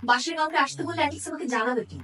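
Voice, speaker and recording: An actress with a Bangladeshi accent playing a suspicious, annoyed wife in a short-film drama, sharp and confrontational. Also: female voice, high-pitched, racing. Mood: annoyed, defensive. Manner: sharp, confrontational, mixes in English. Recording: distant mic with background music.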